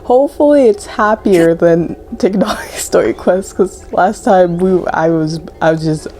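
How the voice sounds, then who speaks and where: Low-voiced young woman, muted tone. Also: measured pace, warm tone. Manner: informal, chatty, reactive. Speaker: gaming content creator. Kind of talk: casual gameplay commentary. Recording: faint background noise.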